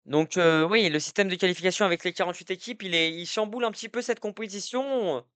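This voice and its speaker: A young man chatting on a live stream, rambling and restarting as he answers his viewers. His voice sits a bit high and comes across clean and crisp. The sound is close and dry, with no room around it. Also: fast talker, fairly bright tone, no room sound. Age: young adult. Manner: rambling, many fillers and restarts, talks to chat. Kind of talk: casual live-stream football talk. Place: indoor room at night.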